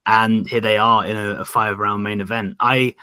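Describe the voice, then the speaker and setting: A man with a very low but bright, forceful voice hosts a podcast in casual chat. He talks in a rambling, thoughtful way and steers the discussion. The recording is dry and close. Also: flat delivery, normal speed, smooth, no echo. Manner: rambling, thoughtful, leads the talk. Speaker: podcast host.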